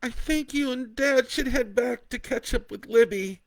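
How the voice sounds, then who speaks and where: A man's voice: very high-pitched, slow, fairly bright tone, no room sound, pitched above the performer's own voice, heavily acted. A man voicing a frightened young adult daughter who is crying, her voice shaky and quivering. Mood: tearful.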